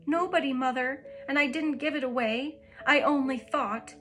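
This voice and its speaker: A woman's voice: high-pitched, normal speed, a bit bright, soft background music, pitched above the performer's own voice. A woman voicing a timid, meek giant grown daughter who answers in a frightened voice.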